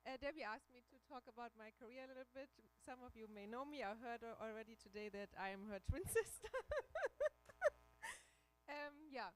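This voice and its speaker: A woman from Germany with a German accent gives a career talk with slides to a church hall audience. She speaks haltingly, with many fillers and restarts. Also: fairly high pitch. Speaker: guest speaker.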